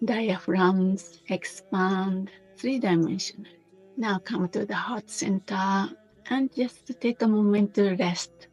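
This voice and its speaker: Gentle woman meditation teacher, really slow w pauses, bit husky, soft music in the back. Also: medium pitch, fairly even intonation, low-quality recording. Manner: gentle, unhurried, with pauses between short phrases. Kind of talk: guided meditation.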